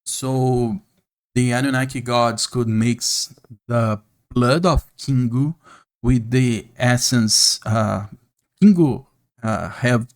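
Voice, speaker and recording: Slow, earnest Brazilian-accented man. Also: medium pitch. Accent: Brazilian Portuguese. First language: Portuguese. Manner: slow, earnest, with frequent pauses and fillers. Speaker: YouTube channel host. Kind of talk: unscripted monologue to camera. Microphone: camera mic, speaking to camera.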